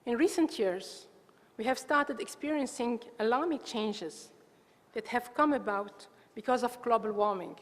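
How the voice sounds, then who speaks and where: High-voiced woman speaking in a reverberant hall. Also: unhurried. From Tunisia. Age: 50s or older. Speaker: water agency coordinator, conference speaker. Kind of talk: formal prepared conference speech. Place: large indoor conference hall. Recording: reverberant hall, long echo.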